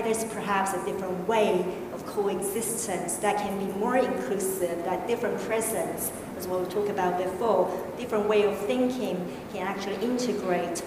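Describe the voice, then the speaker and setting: Quiet measured woman in a big echoey hall. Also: mid-range voice. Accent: non-native English accent. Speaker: panel speaker. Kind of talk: panel discussion. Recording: echoey hall, distant mic, background noise.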